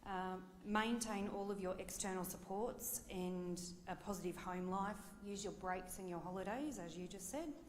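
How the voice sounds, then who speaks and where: A woman's voice: mid-range voice, normal speed, few pauses. Woman reporting back in echoey hall. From Victoria, Australia. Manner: confident, listing points. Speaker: workshop participant. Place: large conference hall. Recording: echoey hall with background noise.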